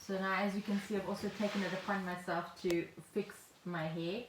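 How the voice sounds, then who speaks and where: A woman's voice: medium pitch, steady tone, fairly quick. A woman co-hosting a podcast in an informal, playful, chatty style. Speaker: podcast co-host. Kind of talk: casual conversational chat. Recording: echoey room, faint noise.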